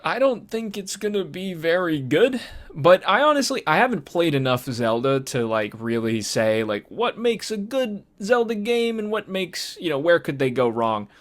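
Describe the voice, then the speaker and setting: A man's voice: fairly high pitch, very animated, moderate pace. Hesitant, meandering man in casual chat. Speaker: podcast co-host. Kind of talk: casual film discussion chat.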